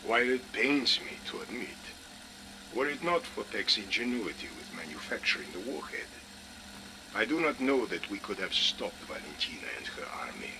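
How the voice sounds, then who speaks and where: An actor playing a stern, proud, threatening agent in a game audio drama, with formal, weighty phrasing. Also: male voice, fairly low pitch, sing-song, normal speed. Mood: stern, grudging. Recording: noisy vehicle-like ambience behind voice.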